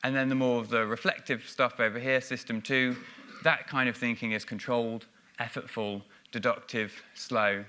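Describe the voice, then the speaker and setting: A man's voice: medium pitch, little pitch movement, moderate pace, bright, forceful tone, a little hiss or hum. A man giving a business conference presentation on stage, explaining ideas with frequent ums and restarts. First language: English. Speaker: conference speaker.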